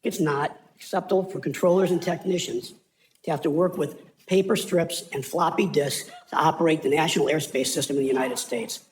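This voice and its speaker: A man's voice: fairly high pitch, fairly even intonation, fairly quick, poor audio. A man giving formal testimony as the leader of a trade group at a government hearing. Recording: clear room noise, hearing ambience.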